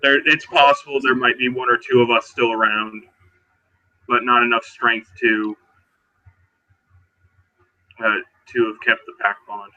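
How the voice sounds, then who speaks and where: Bright, forceful man roleplaying, muffled audio. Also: mid-range voice, level tone, measured pace, long pauses. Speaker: tabletop roleplaying player. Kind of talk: casual improvised roleplay narration and in-character talk. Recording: dull, muffled online voice-chat audio.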